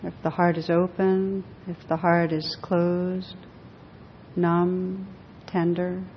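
A woman leading a guided meditation for a group, speaking gently in short, unhurried phrases with long pauses. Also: flat delivery. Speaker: meditation teacher. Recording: dull echoing hall recording, faint rumbling noise.

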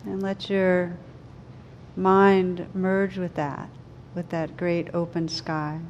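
A woman leading a guided meditation, speaking gently and soothingly in slow, unhurried phrases with pauses. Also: on the low side, level tone, lo-fi sound, slight background noise. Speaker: meditation teacher.

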